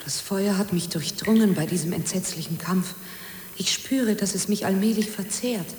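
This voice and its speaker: A woman's voice: mid-range voice, moderate pace, close to an everyday voice. An actress playing a dying woman consumed by fire, anguished and intense, in a radio drama. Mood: exhausted agony. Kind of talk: dramatic radio play acting. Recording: echoey hall with background noise.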